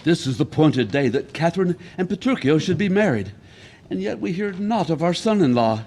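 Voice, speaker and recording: A man's voice: a little high, lively intonation, brisk, darkish tone. An actor playing an anxious father of the bride in a classic comedy audio play, speaking with formal theatrical delivery. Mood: worried.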